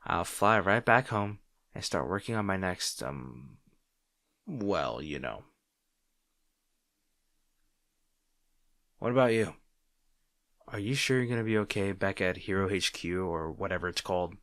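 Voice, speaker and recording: A man playing a grudgingly protective supervillain rival in an intimate audio roleplay, sarcastic and exasperated but caring, speaking haltingly to the listener. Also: deep voice, expressive, no echo, clean studio sound. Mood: awkward, hesitant. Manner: halting, sighing, exasperated yet soft.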